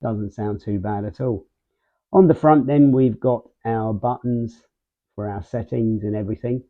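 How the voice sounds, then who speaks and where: A man hosting a casual product unboxing and walkthrough, speaking in short, measured phrases with pauses mid-sentence. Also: on the low side, fairly even intonation. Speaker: video host, product reviewer. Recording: muffled, phone-like narrow band sound.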